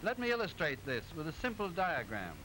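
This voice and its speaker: High-voiced cartoon professor. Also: male voice, huge pitch swings, noisy background. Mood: explaining. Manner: didactic, precise, measured explaining. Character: genial male professor explaining machines in a cartoon. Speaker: narrator, lecturer. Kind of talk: 1920s educational cartoon narration. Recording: old 1920s film soundtrack, music behind.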